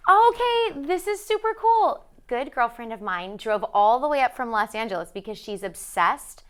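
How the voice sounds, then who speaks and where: Woman chatting on a talk show, slightly high voice, super informal n emphatic. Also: expressive, normal speed, clear, resonant tone. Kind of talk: casual talk show banter.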